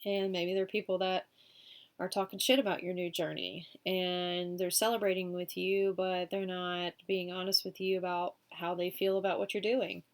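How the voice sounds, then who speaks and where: A woman's voice: fairly low pitch, little pitch movement, normal speed. A woman with a cold doing a casual weekly tarot reading, chatty and full of fillers. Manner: chatty, many fillers like um and you know. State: sick, sniffling. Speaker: tarot reader.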